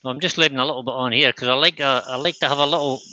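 A man's voice: medium pitch, fairly even intonation, racing, sharp tone, no echo, highs cut off. A man hosting a live woodturning demonstration in casual, chatty style. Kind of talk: casual chat while demonstrating.

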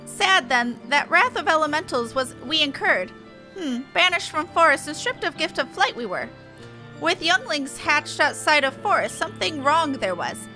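Woman voicing a high, animated bird-man airship builder. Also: exaggerated voice, rough recording. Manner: inverted word order, declamatory, squawking.

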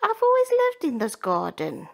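A woman voicing a shy, gentle female bunny who speaks softly and hesitantly. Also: sits high, wildly swooping pitch, moderate pace, creaky, pitched above the performer's own voice, exaggerated voice. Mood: wistful.

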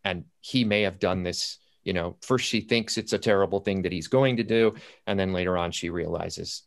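Man, mature, low voice, playwright on an online panel, storytelling and quoting himself as he goes. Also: normal speed, vocal fry. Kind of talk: anecdotal panel talk about writing. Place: indoors at home, on a video call. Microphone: computer video-call audio.

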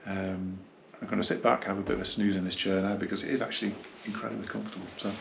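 Low, creaky man chatting casually. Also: little pitch movement, a bit bright, slight background noise. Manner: rambling, halting, reminiscing. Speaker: hi-fi dealer and reviewer. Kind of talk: casual unscripted product review. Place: small carpeted indoor room, seated.

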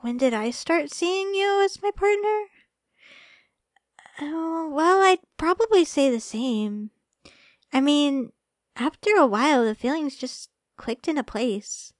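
A woman playing a sweet, shy young girlfriend in a romantic ASMR roleplay, speaking softly and hesitantly with affection. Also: sits high, lively intonation, takes it slowly, no room sound, studio quality. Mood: affectionate, playful. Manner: soft, hesitant, affectionate, stammering when flustered.

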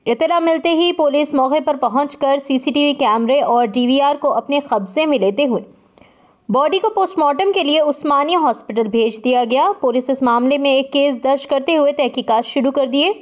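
A woman news anchor reading a scripted bulletin in a formal, measured way. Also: sits high, lo-fi sound.

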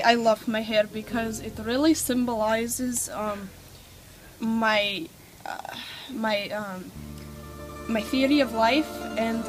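A teenage girl acting a calmly sinister female mafia boss in a mock documentary interview, matter-of-fact and full of hesitant ums, recorded outdoors on a snowy deck with music behind. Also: a little high. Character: deadpan female mafia killer, cheerfully sinister.

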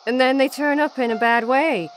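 A woman's voice: sits high, normal speed, natural voice. A woman playing a friendly, concerned college residence staffer in a radio drama. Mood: worried.

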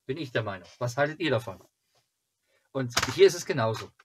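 A man in his forties from Germany chatting casually to camera as a fan channel host, in a halting, rambling way. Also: mid-range voice, animated, noisy background. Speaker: fan club video host. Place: small cluttered home room.

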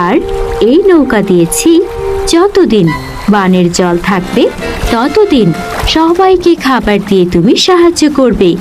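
A woman voicing a kind, grateful young fairy in a children's cartoon, speaking gently in measured phrases. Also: a little high. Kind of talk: children's cartoon story dubbing. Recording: loud music behind the voice. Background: music.

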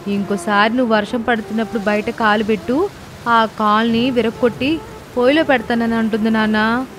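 A woman voicing a sweet, obedient young village daughter with a soft-hearted, pleading manner. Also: fairly high pitch, steady tone, a little fast, noisy background, natural voice. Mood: complaining, pleading. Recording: music and rain sound effects behind.

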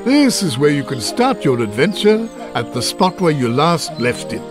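A man playing a genial storybook narrator for a children's video game, measured and scripted. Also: on the high side, very animated. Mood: genial, instructive. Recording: studio voice over game music.

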